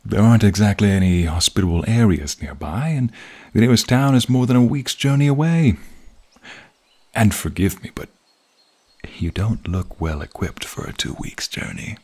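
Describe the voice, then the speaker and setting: A man voicing an alluring, menacing archmage who smugly toys with a captive in an ASMR fantasy roleplay, husky and breathy, with teasing murmurs. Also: on the low side, lively intonation, a little mellow. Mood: teasing, probing. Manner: slow, teasing, smug, drawn-out murmurs.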